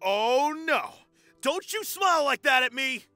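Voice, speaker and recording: A woman plays a snarky gamer friend at a party in an audio drama. The voice is bright and swings lively as it brags and teases drily. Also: high voice, unhurried, no room sound, soft background music, exaggerated voice. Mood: mock alarm. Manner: dry, playful teasing.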